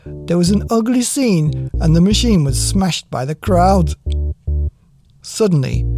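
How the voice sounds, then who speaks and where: A man reading a children's story in an expressive, scripted storytelling manner. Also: sits high, measured pace, soft background music. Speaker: audiobook reader.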